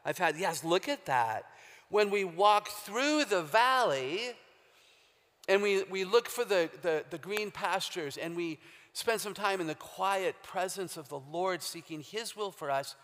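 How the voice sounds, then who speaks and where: A woman with a very low, deep voice giving an emphatic, humorous faith talk as a guest speaker, quizzing a live audience in a large hall. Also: animated, a little slow, a bit bright. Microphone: handheld or stage microphone. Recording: long hall reverb, live stage talk.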